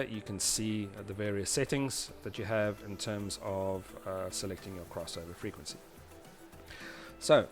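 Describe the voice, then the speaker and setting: A man presenting an informal audio engineering tutorial, explaining step by step in a halting way and often rephrasing himself. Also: on the low side. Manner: hesitant, halting delivery with repeated rephrasing. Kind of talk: informal explanatory tutorial narration over diagrams.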